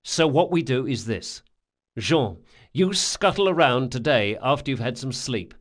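A man voicing a shrewd male leader of thieves in an audiobook, giving brisk, emphatic orders. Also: medium pitch, expressive, natural voice. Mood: brisk commanding.

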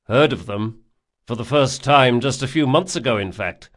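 A man voicing a polite gentleman in an audiobook, measured, formal and wry. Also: medium pitch, lively intonation, natural voice. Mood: agreeable. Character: polite, dryly witty gentleman passenger, composed.

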